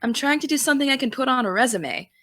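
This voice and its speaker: A young actress playing an earnest high school girl who wants to be a journalist, defensive and dry. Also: lively intonation, fast talker, bright, forceful tone, dry recording, heavily acted. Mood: pleading. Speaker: student podcast host. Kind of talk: audio drama.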